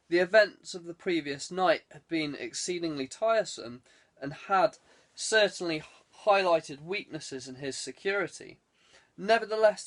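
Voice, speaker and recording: A man reading a thriller aloud as a scripted audiobook narration. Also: fairly high pitch.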